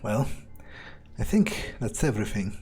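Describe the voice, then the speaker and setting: A man's voice: on the low side, sing-song, unhurried, darkish tone, slight background noise. A man role-playing a cynical, arrogant vampire in a game playthrough, musing aloud in a casual, hesitant way. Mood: musing, polite. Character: cynical, arrogant male vampire thinking aloud, coolly skeptical. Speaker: let's player role-playing in character. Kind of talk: in-character game playthrough commentary.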